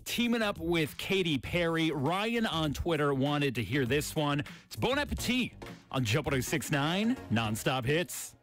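Animated young male radio DJ. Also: fairly high pitch, unhurried. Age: young adult. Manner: energetic, punchy delivery. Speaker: radio DJ, music show host. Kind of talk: upbeat pop radio presenting. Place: small radio studio. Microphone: studio broadcast microphone on a boom arm. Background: faint music bed.

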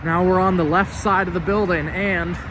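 Young guy rambling as he walks around, kinda high voice, wind and outdoor noise on the camera mic. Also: steady tone, moderate pace. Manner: chatty, rambling asides. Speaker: urban explorer vlogger. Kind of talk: casual walking commentary. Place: outdoors by an empty store building.

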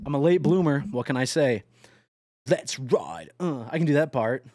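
A man's voice: mid-range voice, animated, moderate pace, dark tone, dry recording, studio quality. A young man streams a truck driving game and talks to his chat casually. He swears often and breaks into yelps and laughs. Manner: addresses chat directly.

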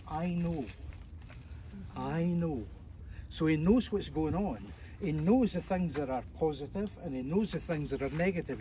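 A man's voice: fairly high pitch, mellow. A man with a Scottish accent preaching conversationally to a tour group outdoors, with jokes and fillers. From Scotland. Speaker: evangelist. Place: outdoors at ancient ruins. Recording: distant mic outdoors, faint background noise.

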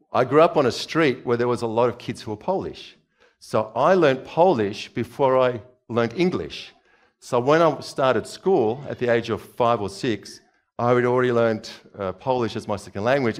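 A middle-aged preacher born in Czechoslovakia, speaking through a headset mic on a church stage. He talks in a relaxed, chatty way, often turning to the crowd with questions. His voice sits at an ordinary, middle pitch. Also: moderate pace. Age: 40s. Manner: chatty, questions the audience, moves about gesturing. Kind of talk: conversational sermon.

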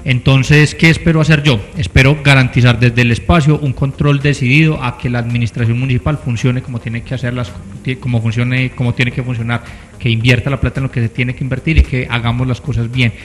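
A man's voice: medium pitch, level tone, brisk, in a large hall. A man in his thirties with a Colombian accent, answering earnestly as an interview guest, repeating phrases for emphasis, on a handheld mic. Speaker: interview guest, council candidate. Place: small TV studio set. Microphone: handheld microphone.

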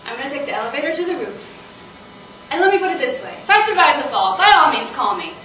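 A woman performing a comedic stage monologue as an anxious, volatile first date, halting and stammering. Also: high voice, expressive, fairly bright tone. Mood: outraged. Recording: noisy, echoey stage, distant mic.

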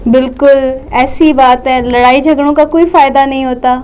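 A young female TV host with a high voice chats with puppets on a children's holiday show. She speaks in a studio, in a conversational presenting style. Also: steady tone, normal speed, a little mellow. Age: young adult.

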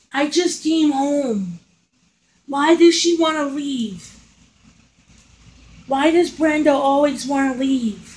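A woman playing a bewildered little old lady who frets in plaintive, drawn-out questions in a comic skit. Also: high-pitched, poor audio. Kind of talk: comic household argument skit.

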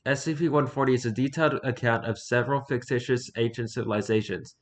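A man narrates written horror fiction at a middling pitch. He reads in a halting way, tripping on words and going back to correct them. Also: steady tone. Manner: stumbles over words, self-correcting. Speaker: streamer reading horror fiction aloud. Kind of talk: scripted narration read aloud, halting.

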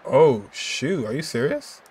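A man's voice: medium pitch, lively intonation, unhurried, darkish tone, slightly rough. A young American man giving casual reaction commentary on a game. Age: young adult. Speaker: streamer reacting to game cutscenes.

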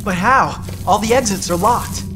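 A man's voice: high-pitched, moderate pace, lightly acted. A young man with an American accent acting a cheeky, joking teenage amateur filmmaker in a video game, with theatrical mock-reporter delivery. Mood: worried. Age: young adult. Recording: background music under dialogue.

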